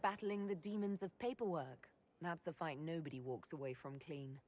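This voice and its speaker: A woman's voice: deep voice, darkish tone, a little hiss or hum, close to an everyday voice. Woman acting composed, commanding fantasy leader. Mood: gently wry. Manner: measured, commanding, articulate. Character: composed, authoritative female Inquisitor, leader in fantasy. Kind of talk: dramatic video game dialogue.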